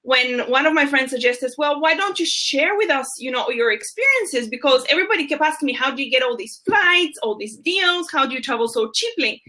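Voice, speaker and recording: A woman from Bulgaria talks as a guest in an easygoing chat, enthusiastic and full of fillers. Her voice is high and bright. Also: animated, a little fast, few pauses. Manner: enthusiastic, warm storytelling with many fillers. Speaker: travel blogger guest. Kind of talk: casual chat.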